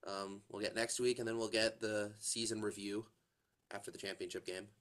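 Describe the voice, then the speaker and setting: A man's voice: lowish voice, little pitch movement, moderate pace, fairly bright tone. A congested man co-hosting a casual college football podcast, rambling with plenty of fillers and restarts. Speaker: podcast co-host. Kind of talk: casual sports chat.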